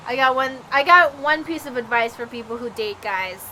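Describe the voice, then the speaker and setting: A woman's voice: fairly high pitch, expressive, normal speed, bright, forceful tone, slight background noise, poor audio. A young woman giving sarcastic, laughing commentary while playing a game with a friend. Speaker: let's play host. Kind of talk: casual gameplay commentary.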